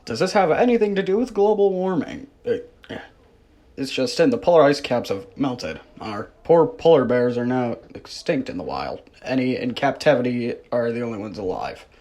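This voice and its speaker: Morning TV news presenter, acted by a man, animated pitch, mid-range voice, mock bulletin delivery. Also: moderate pace. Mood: presenting. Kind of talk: amateur mock newscast.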